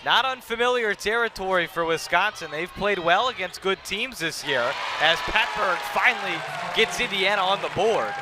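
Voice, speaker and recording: High-voiced young man calling basketball over noise. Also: expressive, normal speed. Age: young adult. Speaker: play-by-play commentator. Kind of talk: live basketball play-by-play. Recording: noisy gym, bouncing balls behind. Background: basketball arena noise.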